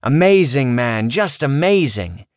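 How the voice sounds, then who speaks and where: A man's voice: mid-range voice, big pitch swings, a little slow, smooth, no room sound, studio quality, voice pushed up. A man voicing an easygoing young male friend, enthusiastic and breezy, in an audiobook memoir. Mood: excited.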